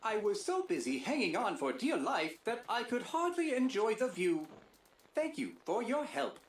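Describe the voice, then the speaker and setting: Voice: medium pitch, very animated, normal speed, close to an everyday voice. An actor voicing a polite, formal, slightly fretful robot comrade in a video game, with precise, wordy phrasing. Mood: fretful, grateful. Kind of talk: scripted video game voice acting. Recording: roomy echo, faint background noise.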